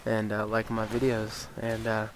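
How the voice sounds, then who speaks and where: A man's voice: on the low side, moderate pace, a little hiss or hum, poor audio. Sniffly man rambling about a game. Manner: rambling, with many ums and uhs, and swears freely; gets excited and incredulous about game balance. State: stuffy, sniffly nose. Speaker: gaming YouTuber. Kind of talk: casual gameplay commentary.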